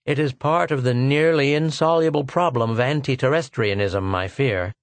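A man's voice: medium pitch, normal speed, no room sound, voice pushed up, lightly acted. A man voicing a weary, haggard older physicist who speaks in a grim, earnest way.

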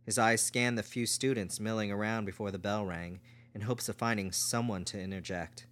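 A man's voice: fairly low pitch, steady tone, moderate pace, fairly bright tone. A man reading fiction aloud as a scripted story narrator. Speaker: audiobook narrator.

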